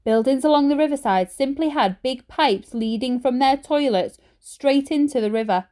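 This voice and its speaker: A woman teacher reading a children's story aloud in an expressive storytelling style. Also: high voice, moderate pace.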